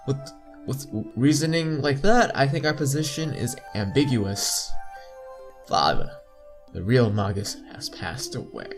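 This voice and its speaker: A man's voice: mid-range voice, unhurried. Young man reading aloud over music. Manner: informal, stumbles over words and corrects himself. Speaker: playthrough host. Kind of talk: reading visual novel text aloud with casual asides. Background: game music.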